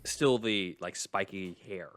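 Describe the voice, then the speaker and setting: Low-voiced man hosting a podcast. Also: takes it slowly, fairly bright tone. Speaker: podcast host. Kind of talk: casual discussion and ad reads.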